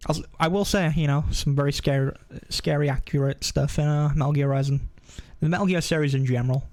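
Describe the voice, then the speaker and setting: A man's voice: a little high, fairly even intonation, a little fast, darkish tone, dry recording. A man chatting casually on a live game stream, rambling and often interrupting himself.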